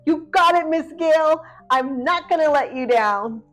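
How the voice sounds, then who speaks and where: A woman's voice: high-pitched, a little slow, a bit bright, faint music behind, voice pushed up. A woman voicing an eager, chatty young schoolgirl who loves facts, bubbly and earnest, in a storybook read-aloud. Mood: excited.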